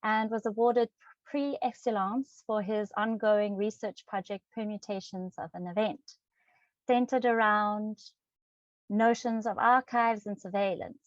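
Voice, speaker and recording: A woman's voice: mid-range voice, fairly even intonation, a little slow, no room sound. Hesitant woman hosting a video call. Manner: hesitant, many ums and self-corrections. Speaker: webinar host. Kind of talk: reading introductions with fillers. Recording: dry, dull lo-fi video call audio.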